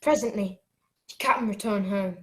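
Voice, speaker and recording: A woman's voice: medium pitch, normal speed, heavy background noise, lightly acted. A woman playing a polite, earnest young boy who narrates his adventure in a radio play. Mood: tense storytelling.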